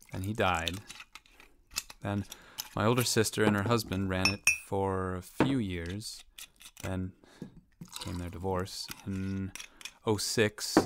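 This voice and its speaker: A man's voice: sits low, noisy background. A man playing a blunt, world-weary tavern keeper in a radio play, with a folksy drawl and dry grumbling manner.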